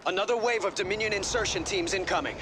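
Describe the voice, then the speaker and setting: A man's voice: sits high, fast talker, heavy background noise. An actor playing an earnest young male commander in a video game, speaking formally and urgently over radio comms. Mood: alarmed.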